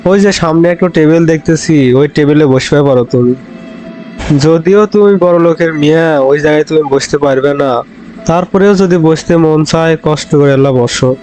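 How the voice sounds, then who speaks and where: A man's voice: on the high side, brisk, a little mellow, soft background music, natural voice, poor audio. A man voicing a humble, philosophical, resigned young village man in a cartoon, in a rural Bangladeshi Bengali dialect. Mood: humble, matter-of-fact. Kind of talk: Bangla comedy cartoon dubbing.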